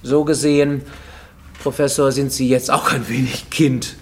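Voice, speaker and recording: An actor playing a fugitive healer and visionary dreamer in a radio play monologue, speaking intimately and deliberately. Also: male voice, mid-range voice, level tone, husky. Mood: soothing, eerie. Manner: intimate, deliberate, addressing a listener, dropping to whispers. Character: fugitive Japanese man in Mexico, makeshift healer and tooth-puller, visionary dreamer. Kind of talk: German radio drama monologue.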